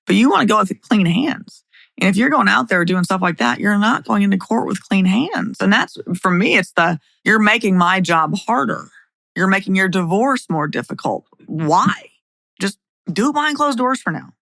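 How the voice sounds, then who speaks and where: A woman's voice: mid-range voice, lively intonation, normal speed, gravelly, fairly bright tone, dry recording. A middle-aged woman speaking as a podcast host and attorney, in a blunt, plain-spoken way. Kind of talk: scripted narration and opinionated podcast talk.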